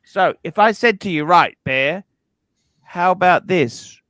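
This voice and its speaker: A man hosting a casual livestream chat about what he would rather own. He talks bluntly, swears freely and keeps checking in with "okay?". His voice sits high and sounds smooth and resonant, recorded close with no room sound. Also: slow pace, fairly bright tone, no echo. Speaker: livestream host.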